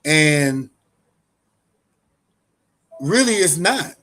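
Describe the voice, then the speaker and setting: Man talking loosely, pitch on the high side. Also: sing-song, very slow, a bit bright. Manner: loose and conversational, with frequent restarts and fillers. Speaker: show host. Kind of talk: casual spoken commentary.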